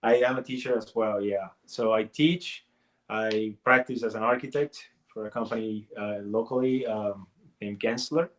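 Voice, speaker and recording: Halting Puerto Rican-born man in his forties. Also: on the low side, steady tone. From Puerto Rico. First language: Spanish. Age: 40s. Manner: halting, many restarts and fillers. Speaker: guest artist. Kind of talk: casual interview talk.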